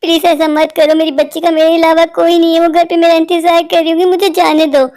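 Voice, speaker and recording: A woman's voice: very high voice, little pitch movement, fairly quick, darkish tone. A woman voicing an anxious, plaintive poor father who sells eggs in a cartoon story. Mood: pleading. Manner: plaintive, imploring. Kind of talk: Hindi cartoon story acting.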